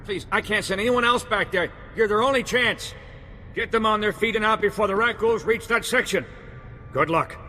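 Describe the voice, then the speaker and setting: A man's voice: moderate pace, sharp tone. A man playing a panicky, indecisive junction chief in a game, stammering and urgent. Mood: pleading. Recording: long reverb, rumbling noise.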